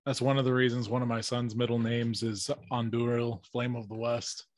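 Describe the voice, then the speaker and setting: A man hosts a casual interview. His voice sits at an average pitch and is recorded dry and close on a podcast microphone. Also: level tone, moderate pace, dry recording. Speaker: interviewer, host. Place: small home studio, video call. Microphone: close podcast mic with headset.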